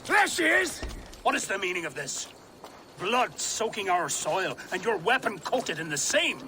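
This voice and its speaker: An actor playing a stern, vengeful medieval guard leader who declaims accusations in a dramatic game cutscene. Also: male voice, high-pitched, sing-song, measured pace, background noise, full character voice. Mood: outraged.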